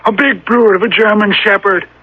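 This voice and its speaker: A man's voice: high-pitched, fairly even intonation, normal speed, natural voice. An actor playing a folksy, cagey old boatman in a radio drama, with hesitant, rustic phrasing. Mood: chatty, obliging. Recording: muffled, hissy old radio recording.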